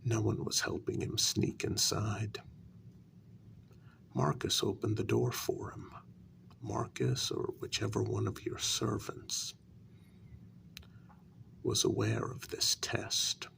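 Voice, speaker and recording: A man playing a wounded, bitter vampire in a soft-spoken ASMR role-play, speaking in hushed, deliberate half-whispers with a gravelly voice. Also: on the low side, level tone, a little hiss or hum, exaggerated voice. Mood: cold, explanatory. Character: wounded, bitter male vampire confronting his queen.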